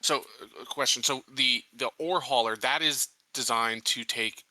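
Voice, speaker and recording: A man playing a tabletop roleplaying game, laying out plans casually in a deliberate, halting way. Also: medium pitch, bright, forceful tone, no room sound, poor audio. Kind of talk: casual in-character planning talk.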